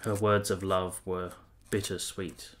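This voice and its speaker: A man playing an ardent, self-regarding young army officer, narrating a period radio drama in a formal, measured, literary manner. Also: sits low, little pitch movement, a bit bright. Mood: tender reminiscence. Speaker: narrator and lead character.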